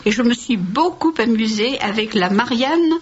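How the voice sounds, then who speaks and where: A high-pitched woman co-host reading messages on a talk show, playful and lively. The audio is dull and lo-fi, with faint background hiss. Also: normal speed. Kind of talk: reading listener messages on radio.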